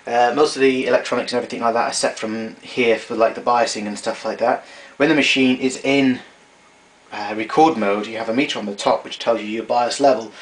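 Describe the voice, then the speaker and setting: A man's voice: medium pitch, steady tone, a little fast. A man giving an informal hands-on equipment demonstration in a matter-of-fact, explanatory way, recorded on a camera mic in a small room at home. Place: small domestic room indoors. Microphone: camera mic a short distance away. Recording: noisy amateur room recording. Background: clear background noise.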